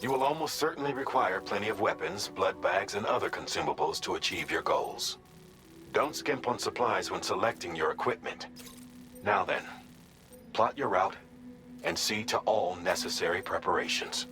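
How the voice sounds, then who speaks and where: A man's voice: low voice, expressive, moderate pace, music in the background. A man playing a composed military operations commander in a sci-fi game, giving an orderly, formal mission briefing. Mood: composed, instructive.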